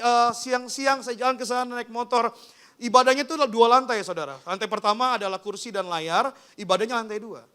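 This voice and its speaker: A man preaches with a strikingly high-pitched voice that is bright and smooth. As a pastor, he tells personal testimony in informal, conversational language, speaking straight to his listeners. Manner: informal storytelling, often addressing listeners directly. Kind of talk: personal testimony in a sermon, addressing a congregation.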